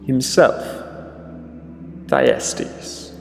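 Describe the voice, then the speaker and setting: An actor playing a vengeful king tormented by rage in a classical tragedy, declaiming gravely and theatrically. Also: drawn-out words. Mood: dark, tormented. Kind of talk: classical tragedy monologue, declaimed audio drama. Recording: long hall reverb, music underneath. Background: dramatic music.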